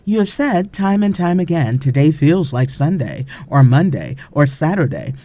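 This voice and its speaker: A woman narrating an audiobook from a script in a measured, deliberate, instructive way, pausing between phrases. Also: sits low, sing-song, mellow.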